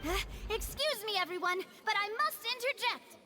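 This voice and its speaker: A woman's voice: very high voice, expressive, normal speed, crowd noise. A woman voicing a confident, playful young woman in a video game, coaxing and showy in manner. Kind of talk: dramatic video game voice acting.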